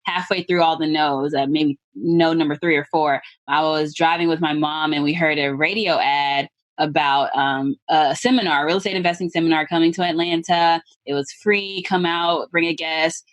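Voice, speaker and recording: A woman's voice: sits low, fairly even intonation, normal speed, hardly any pauses, no room sound. Cheerful young woman, dry close sound. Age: 20s. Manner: cheerful, laughs easily. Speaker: guest, real estate investor. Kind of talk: casual interview chat.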